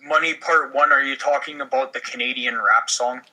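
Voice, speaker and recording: A man's voice: mid-range voice, steady tone, moderate pace, sharp tone, rough recording. A man chatting casually and jokily as a cast member at a podcast table read. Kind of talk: casual, joking chat.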